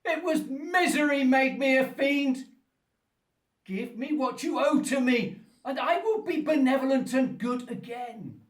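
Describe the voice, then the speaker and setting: A slow, high-pitched, wretched monster. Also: male voice. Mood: anguished, pleading. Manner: slow, grandiloquent, pleading and menacing delivery. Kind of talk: theatrical radio play acting. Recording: roomy echo, distant from the mic.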